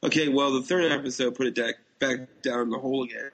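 A man's voice: mid-range voice, steady tone, brisk, fairly bright tone, breathy edge, rough recording. A man co-hosting a casual podcast, giving opinions in a blunt, dismissive way. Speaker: podcast co-host. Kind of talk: casual opinionated review chat.

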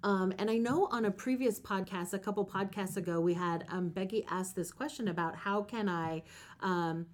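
A woman's voice: medium pitch, moderate pace. A woman hosting a podcast, talking conversationally about faith with a guest in an earnest, encouraging way. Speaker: podcast host.

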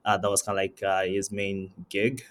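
Low-voiced young man, hesitant and halting. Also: monotone, slight background noise. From Zimbabwe. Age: young adult. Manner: hesitant, many ums and uhs, repeats words. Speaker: guest, startup founder. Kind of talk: casual interview answers.

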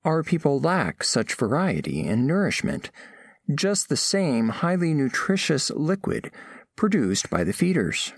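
A man's voice: fairly high pitch, very animated, measured pace, slightly rough, no echo, clean studio sound, heavily acted. A man playing a formal, curious alien scholar who narrates an audio drama in precise, clinical wording. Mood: formal curiosity. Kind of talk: scripted first-person story narration.

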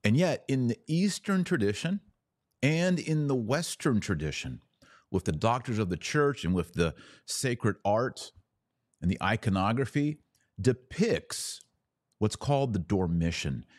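A middle-aged man giving an informal theological explainer as a podcast host, speaking in an emphatic, didactic way with pauses on key phrases. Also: medium pitch, animated, dry recording, studio quality.

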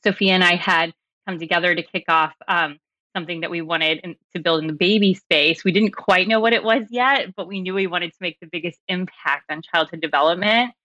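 A woman with a fairly low, bright voice gives a casual self-introduction as a startup founder. She rambles chattily with small chuckles. The sound is dry and a little muffled. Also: a little fast, no echo.

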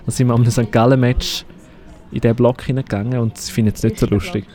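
A man hosting a casual interview, relaxed and hesitant with fillers. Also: mid-range voice, quick pace. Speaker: podcast host.

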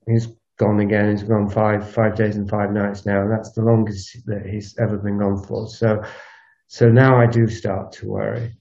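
A man recording a solo spoken audio log on a walk, musing in a halting, unscripted way and circling back over his phrases. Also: on the low side, vocal fry, mellow. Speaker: podcast host. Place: outdoors, recorded while walking. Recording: dull lo-fi outdoor recording.

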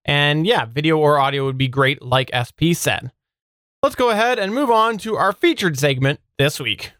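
Higher-voiced man co-hosting a podcast. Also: expressive, normal speed, a bit bright. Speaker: podcast co-host. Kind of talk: casual podcast presenting.

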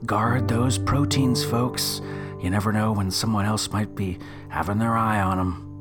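A man's voice: lowish voice, steady tone, measured pace. A man hosting a podcast in a scripted but folksy, informal way, with guitar music behind. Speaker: podcast host.

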